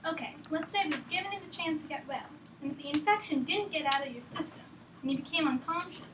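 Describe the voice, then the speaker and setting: A woman's voice: high-pitched, quick pace, close to an everyday voice. A woman acting a direct, probing visitor questioning a sick patient in a drama table read. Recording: echoey room, distant mic, faint noise.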